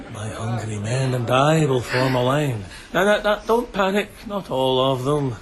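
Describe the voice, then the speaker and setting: Slow, taunting, brutal bandit chief. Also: male voice, medium pitch, fairly bright tone, a little hiss or hum. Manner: taunting, theatrical, mock-courteous, chuckling menace. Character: brutal, mocking, grinning bandit leader, rough and lecherous. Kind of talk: radio drama acting.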